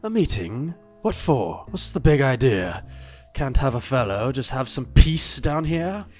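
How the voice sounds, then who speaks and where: A man's voice: mid-range voice, big pitch swings, unhurried, darkish tone, soft background music. A man playing a vain, irritable Roman emperor damned to hell, pompous and theatrical in a rhymed comic radio play.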